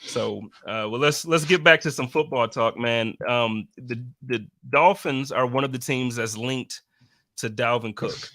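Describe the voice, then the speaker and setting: Male podcast host, slangy and supportive, bright voice, dry close mic. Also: medium pitch, a little slow, dry recording. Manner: friendly, encouraging, slangy. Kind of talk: casual chat.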